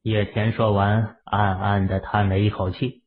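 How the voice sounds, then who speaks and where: A man's voice: fairly low pitch, little pitch movement, measured pace, phone-quality sound. A man reading a story as a scripted, dramatic audiobook narration.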